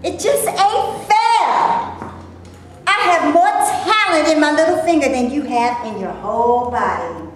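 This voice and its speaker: A bitter, jealous woman snaps and threatens, acted by a woman on stage. Her voice sits high and moves a lot. The room behind her is very echoey. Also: lively intonation, unhurried, distant mic, a little hiss or hum. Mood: resentful. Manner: sharp, resentful, threatening. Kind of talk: staged play reading.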